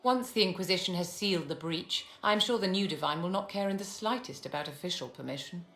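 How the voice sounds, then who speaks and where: An actress playing a poised, aristocratic mage in a video game, speaking in a measured, courtly and haughty manner. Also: female voice, lowish voice, a bit bright. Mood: poised, assured. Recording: reverberant hall with music underneath.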